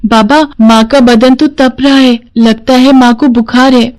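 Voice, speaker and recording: A woman's voice: sits high, fairly even intonation, unhurried, darkish tone. A woman voicing an innocent, earnest little girl in a storybook tale, with a pleading, childlike manner. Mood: concerned.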